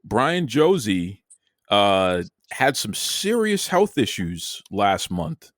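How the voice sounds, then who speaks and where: A man's voice: fairly low pitch, big pitch swings, slow pace, dry recording, clean studio sound. A man hosting a casual talk show, conversational and folksy, often stumbling over and repeating words. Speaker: podcast host. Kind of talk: casual chat.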